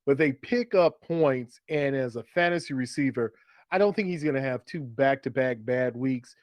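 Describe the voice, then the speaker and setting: A man's voice: mid-range voice, a little mellow, dry recording. American man hosting casual sports talk, dry sound. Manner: conversational, steers segments and poses questions. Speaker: fantasy football show host.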